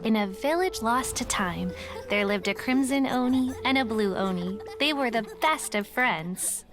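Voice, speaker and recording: A woman narrating a folk tale in a game in a calm, storybook way. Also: on the high side, measured pace, lightly acted. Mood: storytelling. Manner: calm, warm, once-upon-a-time telling. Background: game music.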